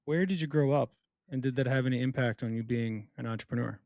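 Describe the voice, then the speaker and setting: A man hosting a podcast, chatting in a quick, upbeat and friendly way with his guest. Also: mid-range voice, darkish tone, dry recording, studio quality. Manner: fast, upbeat, friendly banter. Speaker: podcast host. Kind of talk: casual interview.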